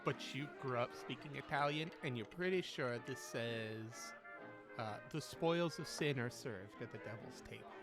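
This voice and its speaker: A man's voice: mid-range voice, normal speed, over music. Casual man running a tabletop game. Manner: casual, explanatory. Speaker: game master.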